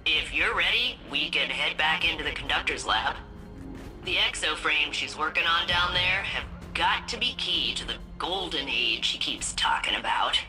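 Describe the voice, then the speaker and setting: A woman's voice: sits low, animated, normal speed, sharp tone, exaggerated voice, low-quality recording. A woman voicing a cheerful, chatty artificial intelligence helper in a video game, playful and casual. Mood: upbeat, helpful. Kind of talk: video game character dialogue. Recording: game music behind the voice.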